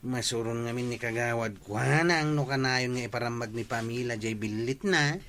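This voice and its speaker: Blunt, teasing village man, mid-pitched acted. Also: moderate pace, a bit bright, a little hiss or hum, lightly acted. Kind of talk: comedy drama acting.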